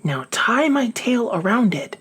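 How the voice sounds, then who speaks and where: A gentle, loyal snake from a picture book, played by a woman reader. He speaks slowly and calmly in a breathy hush. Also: on the high side, pitched above the performer's own voice, heavily acted. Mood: calm, resolute.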